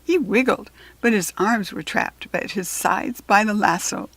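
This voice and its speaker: A woman with an American accent reading a children's book aloud in expressive narration, with a breathy voice. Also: medium pitch, unhurried, no echo.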